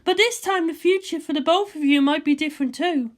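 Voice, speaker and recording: A man voicing a cool, sensible woman scientist with a measured, dryly chiding manner in a fan fiction reading. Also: very high-pitched, pitched above the performer's own voice. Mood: earnest, advising.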